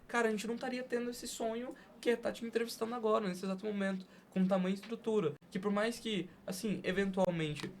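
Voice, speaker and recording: A Brazilian man hosts a casual podcast interview. His voice sits quite high for a man and carries a marked vocal fry. The recording has clear background noise. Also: steady tone, fast talker, few pauses. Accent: Brazilian Portuguese. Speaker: podcast host.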